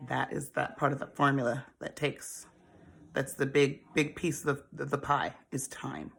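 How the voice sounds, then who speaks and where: A woman's voice: a bass voice, flat delivery, normal speed, vocal fry, fairly bright tone. A woman in her forties hosting a casual talk on saving money, chatty and laughing often, calling her listeners honey and baby. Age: 40s. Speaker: host. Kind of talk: casual financial advice talk.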